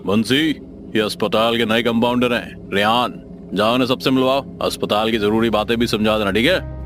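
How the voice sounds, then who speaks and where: A man's voice: fairly low pitch, steady tone, moderate pace, fairly bright tone, lightly acted. A man voicing an authoritative senior asylum doctor who gives ominous instructions in a horror audio story. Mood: brisk ordering. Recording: music under the voice.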